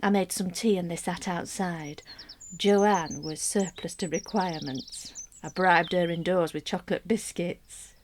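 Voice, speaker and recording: A woman acting a wistful married mother in a gentle radio monologue, reflective and intimate, sometimes sinking towards a whisper. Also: fairly low pitch, fairly even intonation, a little hiss or hum, natural voice. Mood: wry, gentle. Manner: reflective, measured, intimate, dropping to near-whisper. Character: wistful married mother narrating her private longings. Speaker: actor in a solo radio play.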